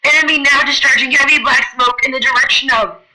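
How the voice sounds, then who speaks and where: A woman's voice: sits high, fairly even intonation, a little fast, pushed and bright, low-quality recording. A woman playing a military radio operator in a radio drama, relaying clipped official reports. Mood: brisk, official.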